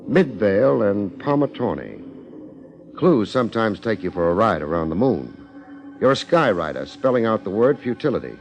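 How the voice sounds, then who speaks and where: A man's voice: lowish voice, big pitch swings, normal speed, creaky, over music. A man acting as a wry, persuasive hard-boiled private investigator, clipped in delivery, in 1950s radio detective style. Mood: wry, brisk narrating. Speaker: lead actor and narrator of detective drama. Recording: dull old 1950s radio broadcast recording.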